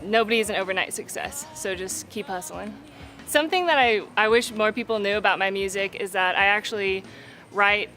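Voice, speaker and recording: A woman's voice: mid-range voice, fairly bright tone. A young adult woman, a singer-songwriter, talking casually and candidly in an interview, peppering her speech with "like" and "you know".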